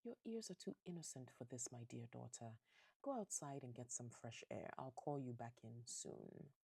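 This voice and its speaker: A proud, shrewd father, acted by a woman storyteller, gives orders in a low, husky voice. He is blunt and commanding, a man of street smarts in a retold tale. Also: expressive, moderate pace, mellow, no echo, heavily acted.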